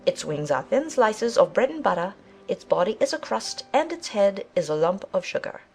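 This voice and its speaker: A careless, matter-of-fact giant gnat, acted by a woman for a children's audiobook. The delivery is brisk and offhand, with music playing behind the voice. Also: mid-range voice, big pitch swings, a little mellow. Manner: brisk, offhand, buzzing between remarks. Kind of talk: dramatized children's audiobook acting.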